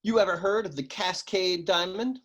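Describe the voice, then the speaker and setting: An actor playing a wisecracking hardboiled private eye in a comic noir radio serial, with deadpan, slangy tough-guy talk. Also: high-pitched, normal speed. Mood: breezy.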